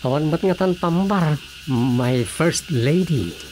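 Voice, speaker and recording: An actor with an Ilocano accent playing a folksy, comic small-town mayor and husband in a radio drama comedy. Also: male voice, fairly high pitch, lively intonation, slow. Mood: grumbling, comic. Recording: noisy background with cricket-like ambience.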